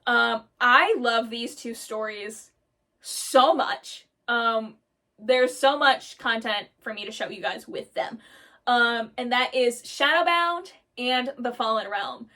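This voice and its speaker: A woman vlogger gushing about her own characters in casual talk, excitable and full of "um" fillers. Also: fairly high pitch, takes it slowly. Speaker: storyteller vlogger.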